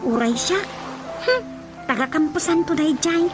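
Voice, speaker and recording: A woman's voice: high voice, lively intonation, normal speed. A woman with an Ilocano accent playing a young daughter in a radio drama, coaxing and sweet. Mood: pleading. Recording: radio drama with music underneath.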